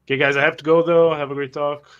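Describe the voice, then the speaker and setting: A man's voice: a little high, level tone, fast talker, lo-fi sound. A man talking casually as a player in a tabletop roleplaying game. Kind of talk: casual chat.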